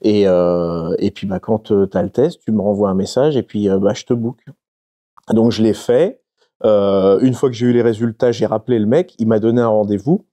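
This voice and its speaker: A young adult man from France, a guest talking casually in an interview, halting and full of fillers. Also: fairly low pitch, steady tone, dark tone. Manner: halting, many fillers and restarts.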